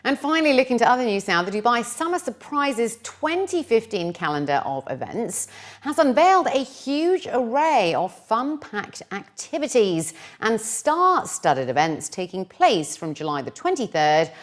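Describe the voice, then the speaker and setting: A woman reading scripted television news as a presenter. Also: mid-range voice.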